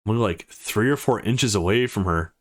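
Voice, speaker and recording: A man's voice: on the low side, a little fast, lightly acted. A man voicing an awkward, nervous young male protagonist of a visual novel, as if muttering his inner thoughts. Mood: flustered.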